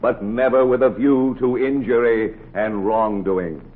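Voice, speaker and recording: An actor playing a solemn officiant in a 1940s radio drama, ceremonial and measured phrase by phrase. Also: male voice, mid-range voice, fairly even intonation, phone-quality sound, lightly acted. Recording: thin, hissy 1940s radio recording.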